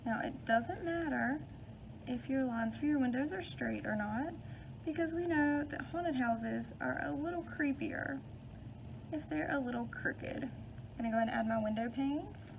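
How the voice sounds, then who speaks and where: A young woman teacher, slightly high-voiced, with a noticeably gravelly, fry-tinged tone. She talks children patiently through each step. A faint hiss runs beneath the recording. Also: unhurried. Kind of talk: read-aloud and art lesson.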